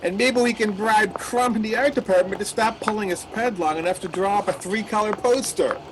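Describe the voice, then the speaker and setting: A man's voice: high voice, brisk, background noise, lightly acted. A man playing a jittery, callous company boss in a satirical radio play, exclamatory and mock-earnest. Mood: scheming. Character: jittery, callous male meatpacking boss named Rat.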